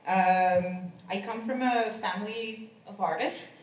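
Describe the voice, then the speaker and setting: A woman introducing herself on a conference panel, halting with many restarts. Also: medium pitch. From Colombia. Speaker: costume designer, panelist. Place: conference room. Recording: echoey room, far from the mic.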